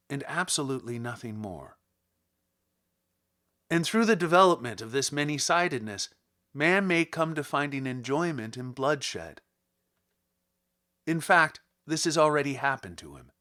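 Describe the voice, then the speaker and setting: A man reads a literary first-person monologue as an audiobook narrator. His voice sits at a middle pitch. He phrases things deliberately and rhetorically, leaning on key words with formal diction. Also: fairly bright tone. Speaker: audiobook reader.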